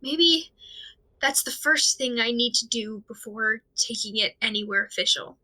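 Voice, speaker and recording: A woman acting in an audio drama as an anxious, overwhelmed amateur investigator, talking through worries alone in a halting, stammering, rambling way. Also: a little high, bright, forceful tone, poor audio. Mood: weary, overwhelmed. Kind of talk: audio drama monologue, recorded diary.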